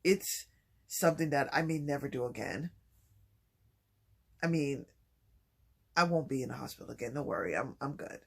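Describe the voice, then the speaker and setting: A man talking softly and haltingly in a personal vlog, with many pauses and restarts. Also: expressive, gravelly, breathy edge. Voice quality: low voice. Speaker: vlogger. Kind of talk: personal vlog, reflective talk.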